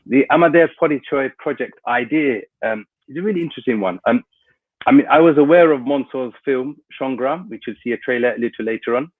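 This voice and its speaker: A man's voice: medium pitch, a little fast, no echo. Man, hesitant with fillers, hay fever, thin phone-like call audio, dry sound. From London, England. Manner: hesitant, many fillers and restarts. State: hay fever, may sneeze. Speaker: guest speaker from an international organisation. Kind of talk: webinar opening remarks.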